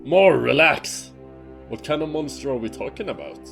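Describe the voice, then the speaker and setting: A lean, skeptical sea hunter voiced by a man with a mid-pitched voice. He is wry and level-headed, questioning everything over faint music in a fantasy audio drama. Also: animated, normal speed, gravelly, a bit bright. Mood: exasperated, skeptical.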